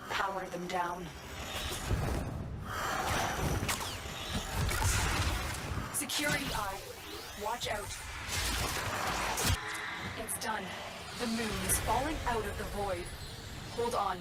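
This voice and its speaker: A woman playing a serious, urgent mission guide in a video game, giving controlled, clear instructions. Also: mid-range voice, measured pace, long pauses, natural voice. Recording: loud music behind.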